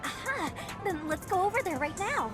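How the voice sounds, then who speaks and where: An eager young mechanic from an anime video game. She speaks high and swoops around excitedly, sure of herself but a bit flustered. Music plays behind her. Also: moderate pace. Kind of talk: video game character acting.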